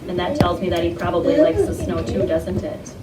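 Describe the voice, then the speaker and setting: Female early-years teacher, interactive read-aloud delivery, prompting listeners with questions; distant mic, loud noise behind her. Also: fairly low pitch, very animated, brisk, echoey room. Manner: instructive, questioning, repeats children's answers back. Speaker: teacher of young children. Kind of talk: interactive read-aloud lesson to young children. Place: classroom with children.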